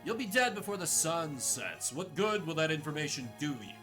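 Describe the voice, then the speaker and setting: A man's voice: on the high side, very animated, normal speed. Man playing gloating, cocky traitor lord. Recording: game music behind the voice.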